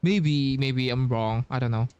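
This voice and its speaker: A male game streamer talks casually over faint music, in a mid-pitched voice. He muses haltingly as he comments, often echoing lines back to himself. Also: a little mellow, highs cut off. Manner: halting, musing, echoes the game's dialogue.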